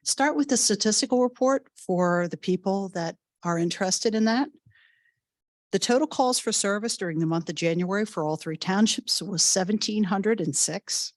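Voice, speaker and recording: A woman police chief, coming down with a cold, giving a measured, explanatory report at a township meeting. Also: medium pitch, no room sound, studio quality.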